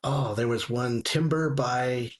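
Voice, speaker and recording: A man chatting casually as a show guest, halting as he searches for words. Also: mid-range voice. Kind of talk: casual chat.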